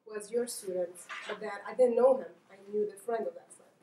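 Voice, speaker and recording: A woman's voice: medium pitch, fairly quick, dark tone, slight background noise. Earnest woman. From Lebanon. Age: young adult. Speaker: PhD student. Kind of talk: casual self-introduction. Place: conference room. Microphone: distant camera mic. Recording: roomy, distant mic.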